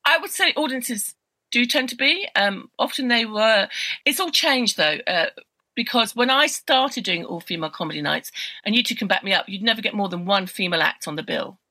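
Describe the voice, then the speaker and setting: A woman's voice: mid-range voice, expressive, normal speed, sharp tone, no room sound, low-quality recording. A woman comedian and comedy promoter, chatting as a podcast guest, telling anecdotes with frequent laughter. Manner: chatty, laughs often, self-deprecating anecdotes. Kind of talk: casual chat.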